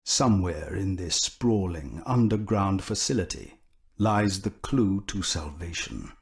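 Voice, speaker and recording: A slow, grave horror-game announcer. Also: male voice, low voice, vocal fry, husky, full character voice. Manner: slow, grave, ominous delivery. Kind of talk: scripted game narration.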